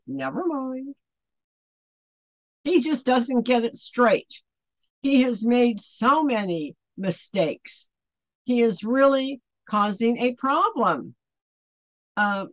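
An older woman with an American accent talks informally to camera as an online ministry host. Her voice sits at a middle pitch. She speaks haltingly, then stresses words slowly for emphasis, in a small room with little echo. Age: 60s or 70s. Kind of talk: informal spoken commentary to camera. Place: small home office, indoors.

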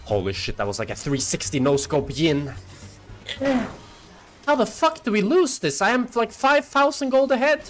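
A young man from Norway, a native Norwegian speaker, joking through live gameplay commentary and chat banter, swearing and shouting at big moments in the game. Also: sits high, big pitch swings, normal speed, faint music behind. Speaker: streamer.

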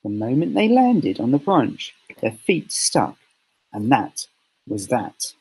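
A man reading a children's story aloud with expressive, deliberate phrasing. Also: mid-range voice, vocal fry, slightly rough, poor audio. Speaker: storybook reader.

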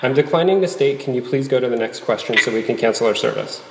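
A man playing a weary, insistent customer cancelling a service, in a formal, measured dramatic reading. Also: medium pitch, expressive, vocal fry. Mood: firm, weary. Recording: echoey hall-like reverb.

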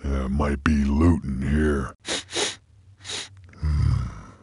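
Very deep, husky frontier bounty hunter. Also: male voice, very slow, no room sound, clean studio sound, full character voice. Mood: gruff, wary. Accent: American Western drawl. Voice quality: husky, gravelly. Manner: terse, slangy, deadpan drawl. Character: gruff, laconic frontier bounty hunter creature, male, weary and menacing. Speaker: game protagonist. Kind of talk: video game character lines.

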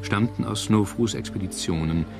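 Very deep male documentary narrator. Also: normal speed, creaky. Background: music.

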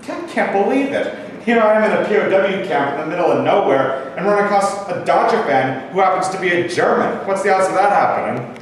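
A very echoey stage-reading recording of a young man as a brash American soldier. His voice sits a little high. He talks bluntly in street slang, quick to take offense. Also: animated. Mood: guarded, chatty. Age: young adult. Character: brash, irritable young American GI, a Dodgers fan. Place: bare rehearsal room, camera at a distance. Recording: echoey hall from far off, faint noise.

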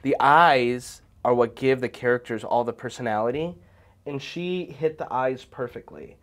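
A man in his thirties judging a kids' talent show in a small studio, speaking in a measured, thoughtful way. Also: mid-range voice, a bit bright. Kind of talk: casual commentary. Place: small studio with decorated wall.

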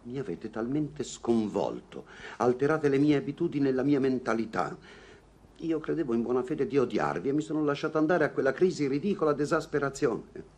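An actor playing an awkward, earnest gentleman newly in love, speaking theatrically in a vintage Italian radio comedy. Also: mid-range voice, animated, brisk, close to an everyday voice. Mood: troubled, tender. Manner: theatrical, hesitant declarations.

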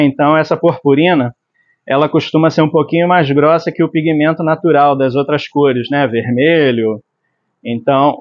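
A man in his forties from Brazil teaching screen printing in his workshop. He explains step by step while mixing inks and keeps checking in with the viewer. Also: on the high side. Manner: explanatory, tag questions like "tá?". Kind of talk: hands-on tutorial. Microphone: lapel mic. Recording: slightly dull lo-fi sound.

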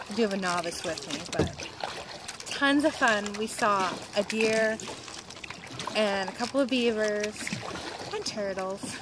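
A woman in her thirties vlogging casually from a kayak on a river, cheerful and laughing often, recorded on an action camera. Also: medium pitch. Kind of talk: casual outdoor vlog. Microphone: action camera held close or mounted on the kayak. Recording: outdoor camera audio with loud water and wind noise.

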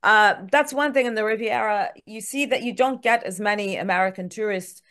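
A woman's voice: medium pitch, fairly quick, fairly bright tone. A middle-aged woman whose first language is French, speaking English with a French accent as a guest in a frank, chatty way with many fillers, over a video call from home. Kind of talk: casual interview chat.

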